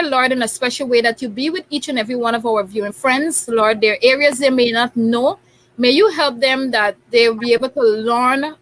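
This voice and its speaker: A woman's voice: a little high, a bit bright, low-quality recording. A woman hosting a talk show, chatty and laughing often. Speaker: host.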